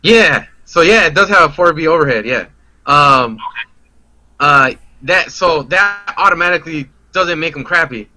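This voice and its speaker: A man's voice: on the high side, lively intonation, normal speed, sharp tone, dry recording, rough recording. A man chatting casually and analysing a game as a podcast guest, in slangy, conversational language. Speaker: guest player on a gaming podcast.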